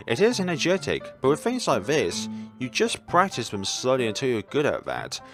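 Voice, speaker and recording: A man voicing a gentle, shy blind schoolgirl in a visual novel, with an earnest, hesitant delivery. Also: medium pitch, wildly swooping pitch, normal speed, over music. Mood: encouraging.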